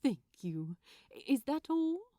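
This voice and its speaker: A woman voicing a kindly, cheerful man who talks in a bright, polite and gently effusive way. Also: high voice, big pitch swings, slow, no room sound, clean studio sound, pitched above the performer's own voice, exaggerated voice. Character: kindly, cheerful, polite male angelic gift-wrapper.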